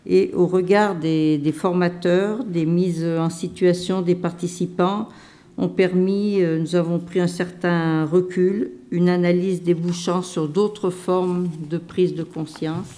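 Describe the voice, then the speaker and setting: A woman caregiver giving a measured, hesitant personal testimony at a conference round table. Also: on the low side, fairly even intonation, dark tone. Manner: measured and hesitant, many pauses and restarts. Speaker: caregiver panelist.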